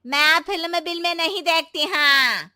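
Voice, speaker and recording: A woman voicing a stern, nagging village mother-in-law in a moral story, brusque and fond of sharp tag words. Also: very high voice, measured pace, no room sound, studio quality, exaggerated voice. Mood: irritated. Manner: brusque, nagging, ends remarks with a sharp "haan". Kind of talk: animated Hindi moral-story voice acting.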